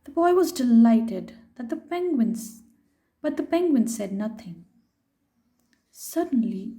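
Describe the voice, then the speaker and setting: A woman with a high, softly mellow voice reads a children's story aloud. She takes her time, shaping the phrases expressively and stopping to put simple questions to her young listeners. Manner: expressive, unhurried, pausing to ask questions. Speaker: storyteller reading a picture book aloud to children.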